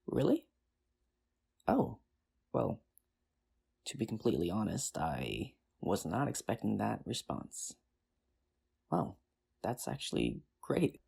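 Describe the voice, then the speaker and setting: A performer in an ASMR roleplay playing a nerdy, earnest high school teacher, rambling with hesitant, self-deprecating charm in an intimate, conversational way. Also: wildly swooping pitch, slow, gravelly, pauses often, warm tone, husky, heavily acted. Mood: pleased, earnest.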